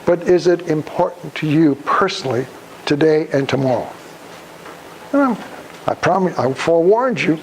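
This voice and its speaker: Older man, deliberate speaker, noisy recording. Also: on the high side, animated. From Krakow, Poland. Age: over 75. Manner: deliberate, halting phrases with rhetorical questions. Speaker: guest speaker, Holocaust survivor. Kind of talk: public talk with questions to the listeners. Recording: noisy live room recording.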